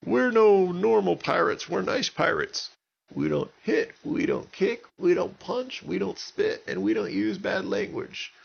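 A man's voice: high voice, expressive, a little slow, gravelly, slightly rough, dull audio, pitched above the performer's own voice, heavily acted. A man voicing a kindly, cheerful pirate captain in a children's story, with earnest, simple, repetitive lines. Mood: hearty, reassuring. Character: kindly, cheerful pirate captain looking after his crew.

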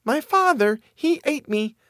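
A man singing as a high-voiced magical storybook bird. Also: lively intonation, measured pace, a bit bright, dry recording, studio quality, exaggerated voice. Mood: plaintive singing. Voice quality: high, singsong. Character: magical singing bird, eerie sweet ghost of a boy. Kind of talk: sung storybook verse.

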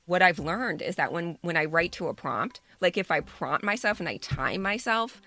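Low, creaky woman in her fifties. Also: animated, normal speed, pushed and bright. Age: 50s. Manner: emphatic, repeats words for stress. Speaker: podcast co-host and writer. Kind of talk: reflective casual talk.

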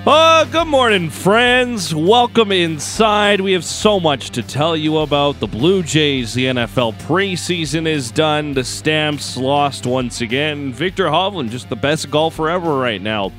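A man's voice: a little high, animated, measured pace, hardly any pauses, a bit bright, soft background music. A man with a Canadian prairie accent co-hosting a morning sports radio show in a laid-back, agreeable way. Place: radio studio. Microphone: studio microphone.

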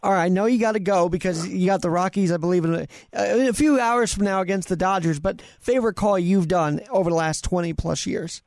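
A man with a high, creaky voice hosts a casual interview. He is from New York, and the sound is dry and close, with very little room. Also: brisk, few pauses, darkish tone, no echo. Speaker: interview show host.

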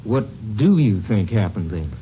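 A man's voice: fairly low pitch, big pitch swings, measured pace. An actor playing a defensive local lawman in a 1950s radio drama, answering in a curt, matter-of-fact way. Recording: dull old radio recording with faint hiss.

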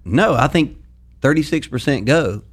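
Joking South Louisiana co-host. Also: male voice, medium pitch, animated, moderate pace. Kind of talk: casual podcast chat.

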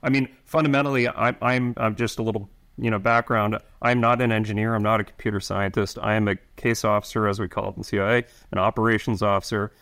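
A middle-aged man, an intelligence officer answering interview questions, speaking haltingly with many restarts and fillers. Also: on the low side, level tone, fairly bright tone.

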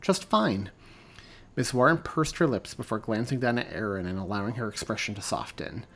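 A man, the author, reading his own story aloud in a steady, even audiobook narration. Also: fairly low pitch, a little fast.

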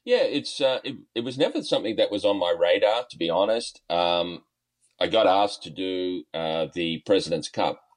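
Deep-voiced Australian man, easygoing. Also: expressive, normal speed. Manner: easygoing, lots of ums and uhs, chuckles often. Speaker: golf coach and guest. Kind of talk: podcast interview, relaxed storytelling.

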